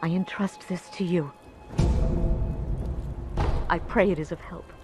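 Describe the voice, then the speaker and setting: A woman's voice: fairly low pitch, steady tone, takes it slowly, over music. An actress playing an anxious, loyal woman in a video game, pleading earnestly and respectfully. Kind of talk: game cutscene acting.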